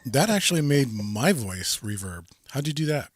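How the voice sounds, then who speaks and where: A man's voice: mid-range voice, sing-song, moderate pace, no echo, studio quality. A man hosting a podcast in casual, joking banter while sniffling. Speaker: podcast host. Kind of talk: casual chat.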